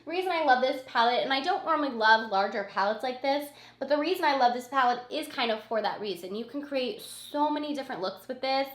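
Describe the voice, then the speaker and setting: A woman's voice: sits high, lively intonation, quick pace, few pauses, fairly bright tone, reverberant. A woman beauty vlogger with a cold and a scratchy, sniffly voice, chatting casually and gushing about her favorites, repeating words for emphasis. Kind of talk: casual product review chat.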